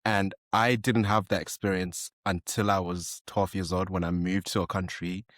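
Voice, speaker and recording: A man from Zimbabwe co-hosting a podcast, earnest and halting, often repeating his words. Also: low-pitched, flat delivery, fairly bright tone, no echo, studio quality. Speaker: podcast co-host. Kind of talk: podcast interview, casual chat.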